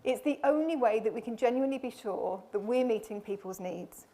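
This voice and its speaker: A woman's voice: a little high, fairly quick, warm tone. A woman hosting a conference panel in a room full of people, welcoming the audience and joking lightly to put her panel at ease. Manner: friendly, reassuring, lightly joking. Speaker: panel host, charity deputy chief executive.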